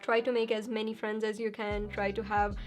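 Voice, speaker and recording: A young woman answering viewer questions in a casual vlog, chatty and quick to laugh. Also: medium pitch, little pitch movement, faint music behind. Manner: chatty, laughs easily, reads questions aloud then answers.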